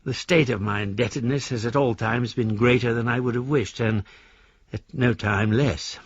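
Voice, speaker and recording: A man's voice: medium pitch, steady tone, normal speed, slightly rough, dry recording, lo-fi sound, natural voice. An actor playing a melancholy middle-aged widower in debt, reading his own letter in a literary, self-dramatising way for radio drama. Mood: sorrowful, resigned. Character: melancholy, wordy widowed debtor writing to creditors.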